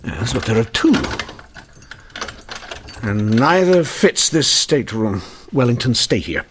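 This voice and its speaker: An actor playing a commanding Victorian police inspector in an old-time radio drama, clipped, dry and authoritative. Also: male voice, mid-range voice, sing-song, takes it slowly, slight background noise. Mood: brooding, deductive. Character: brisk, commanding male police inspector in 1895.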